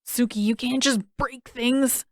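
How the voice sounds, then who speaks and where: A woman voicing an excitable, flustered teenage boy with an exasperated manner in a story. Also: a little high, unhurried, no room sound, clean studio sound, voice pushed up, lightly acted.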